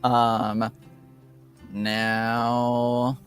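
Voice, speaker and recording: A man narrating a tabletop game casually as game master, with a hesitant, halting delivery. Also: mid-range voice, completely flat delivery, soft background music.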